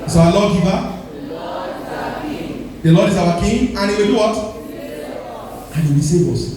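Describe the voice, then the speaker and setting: A man's voice: high-pitched, animated, moderate pace, heavy reverb, distant mic, crowd noise, low-quality recording. A male pastor preaching a sermon with emphatic delivery, calling the congregation to respond.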